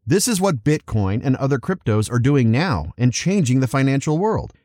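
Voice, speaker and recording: Animated man hosting a podcast. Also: mid-range voice, normal speed, no echo, studio quality. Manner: theatrical, playful, emphatic delivery with exclamations. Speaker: podcast host. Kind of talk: scripted solo podcast reviews and commentary.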